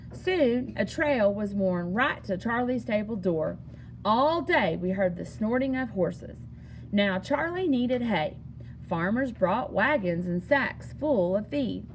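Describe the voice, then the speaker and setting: A woman reading a children's picture book aloud in an expressive, singsong storytelling manner. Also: on the high side, slight background noise. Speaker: story reader.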